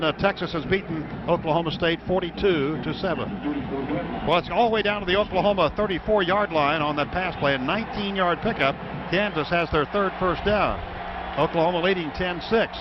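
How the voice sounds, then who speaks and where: High-voiced man calling football over heavy noise. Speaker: play-by-play radio announcer. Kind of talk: live football radio commentary. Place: stadium broadcast booth. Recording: noisy, dull radio broadcast recording.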